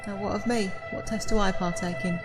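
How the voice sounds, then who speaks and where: A woman's voice: mid-range voice, steady tone, brisk, loud music behind the voice, close to an everyday voice. A woman acting a determined, protective, poor young woman in an audio drama. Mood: wary. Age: young adult.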